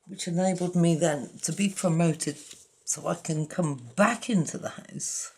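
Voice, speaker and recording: A woman's voice: fairly low pitch, measured pace, darkish tone, slightly rough. A woman hosting a crafting tutorial, chatting casually and with self-deprecating asides while she works at a table. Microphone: overhead camera on the work, speaker off frame.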